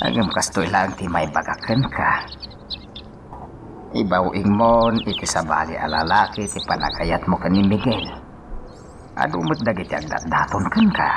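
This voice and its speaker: A man acting a concerned middle-aged father in an Ilocano radio drama, with drawn-out, questioning delivery. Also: sits low, expressive, background noise. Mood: concerned, soothing. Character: concerned father of a lovesick grown daughter.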